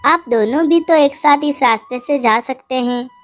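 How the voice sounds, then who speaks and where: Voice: high voice, normal speed, fairly bright tone. A performer voicing a kind mother cow in a cartoon animal story, motherly and reasoning. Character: kind, simple-natured mother cow, caring peacemaker.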